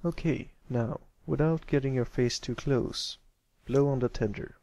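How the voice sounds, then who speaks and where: A man voicing a calm, caring older brother pony who gives patient advice in a storybook reading. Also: medium pitch, measured pace, creaky, voice pushed up. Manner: patient, advising.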